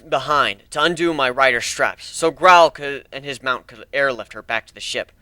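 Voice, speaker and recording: A man's voice: mid-range voice, pushed and bright, no echo. A man giving a dramatic first-person narration of a fantasy action story.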